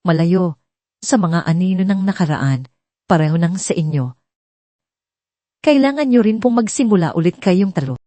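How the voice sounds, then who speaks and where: A gentle young housemaid from an audio storybook drama, voiced by a woman narrator, polite and earnest. The voice is mellow and fairly low, with firm principles behind it. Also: expressive, normal speed, long pauses, dry recording. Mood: gentle, reassuring.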